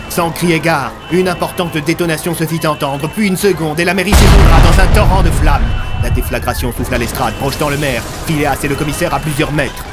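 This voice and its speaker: A man narrating a scripted audio fiction in a dramatic storytelling style. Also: fairly high pitch. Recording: loud music bed. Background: music.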